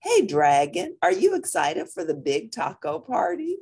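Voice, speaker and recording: A woman with a husky voice reading a picture book aloud to young listeners in an animated, deliberate manner, with brief asides. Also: lowish voice. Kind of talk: expressive children's read-aloud with brief asides.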